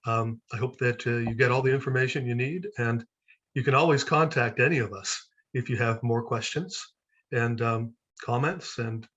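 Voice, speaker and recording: A man's voice: mid-range voice, moderate pace, a bit bright. An American man, a senior lecturer, introducing himself earnestly at an open day. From United States. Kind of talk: self-introduction at an online event. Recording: dull, lo-fi.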